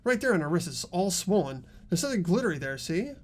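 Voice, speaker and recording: A man's voice: high voice, very animated, fast talker. A man voicing an earnest teenage boy in a fan-dubbed mystery game, reasoning things out aloud. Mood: eager, explaining. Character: earnest teenage boy student working out a murder.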